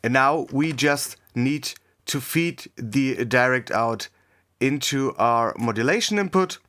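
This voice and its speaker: Slow-paced man giving a software tutorial. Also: mid-range voice, lively intonation. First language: German. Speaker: music production tutorial host. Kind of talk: unscripted software tutorial narration.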